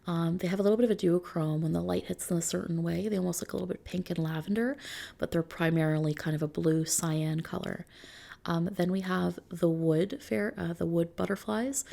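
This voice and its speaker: A soft-spoken woman doing an ASMR roleplay as a consultant, explaining options to a client in an unhurried manner. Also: on the low side, gravelly.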